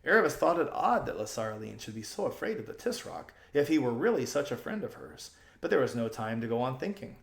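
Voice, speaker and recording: A man reading a children's story aloud as an expressive audiobook narrator. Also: mid-range voice, fast talker, creaky, few pauses, reverberant.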